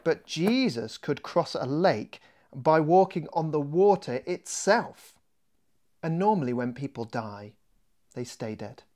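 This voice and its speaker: A man reading a children's mystery story expressively, as its author, with deliberate dramatic pauses. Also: on the high side, expressive, darkish tone.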